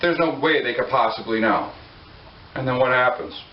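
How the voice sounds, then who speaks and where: A man's voice: medium pitch, moderate pace, slight background noise. Preacher man in an echoey hall. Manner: conversational, joking asides, questions to the room. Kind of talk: sermon to a congregation. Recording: echoey room, far from mic, dull lo-fi.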